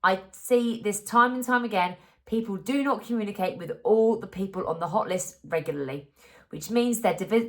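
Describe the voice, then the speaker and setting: A woman in her thirties presenting a conversational software tutorial, explaining things clearly step by step. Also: fairly low pitch. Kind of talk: instructional tutorial narration, conversational.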